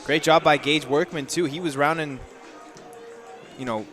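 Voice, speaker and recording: A man's voice: fairly high pitch, fairly even intonation, moderate pace, fairly bright tone, faint music behind. American man giving baseball analysis. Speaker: color commentator. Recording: faint outdoor ballpark noise. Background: ballpark ambience.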